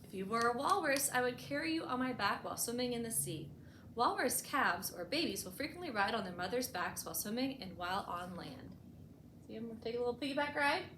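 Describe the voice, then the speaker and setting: A woman reading a picture book aloud for children as a storytime host, expressively and with deliberate emphasis, adding short explanatory asides. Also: fairly high pitch, expressive, pushed and bright. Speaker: librarian hosting a bedtime storytime. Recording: echoey room with clear background noise.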